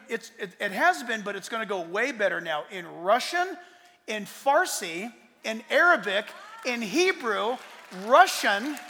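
A man preaching a fervent sermon as pastor, swinging between shouted declarations and hushed asides. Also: very high voice, big-room sound.

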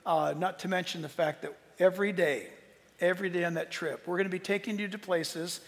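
A man with a fairly high, creaky voice speaks as a Bible teacher to an audience. His delivery is earnest and emphatic, broken by dramatic pauses. Long reverb from a large hall trails his words. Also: steady tone, a bit bright. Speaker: Bible teacher and tour leader. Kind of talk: informal preaching talk to an audience.

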